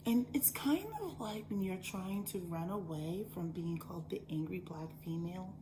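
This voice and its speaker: A woman voicing a preachy female lifestyle influencer who talks in a slow, hushed, breathy whisper. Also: fairly low pitch, close to an everyday voice. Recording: background noise.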